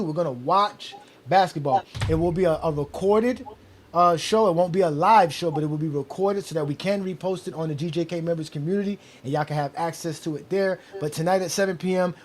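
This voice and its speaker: Male host, 40s, casual sports debate, higher voice, lively and repetitive. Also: a little hiss or hum. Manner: animated, repeats himself when excited. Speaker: show host.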